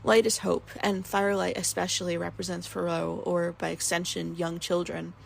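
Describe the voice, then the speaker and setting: A woman with a husky, creaky voice, earnestly reading a prepared analytical commentary in measured, articulate phrasing. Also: on the low side, flat delivery, a little hiss or hum. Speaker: listener sending a recorded commentary. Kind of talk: prepared spoken essay, literary analysis.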